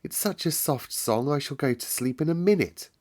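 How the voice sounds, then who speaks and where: A man voicing a frail, tired young boy in a storybook, soft and eagerly pleading. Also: fairly high pitch, lively intonation, moderate pace, pitched above the performer's own voice, lightly acted. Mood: soft, drowsy.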